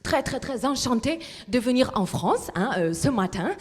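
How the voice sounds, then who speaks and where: Brief: woman as a pompous female book observer, halting and filler-heavy, higher voice, live in an echoey hall. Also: animated, darkish tone, slightly rough. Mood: rambling. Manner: hesitant, full of fillers and restarts. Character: rambling self-important female international book observer. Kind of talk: live comic sketch. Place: large hall at a library event.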